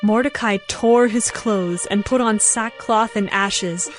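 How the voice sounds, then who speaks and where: A woman narrator reading scripted Bible narration in a measured, solemn storytelling manner. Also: mid-range voice, soft background music.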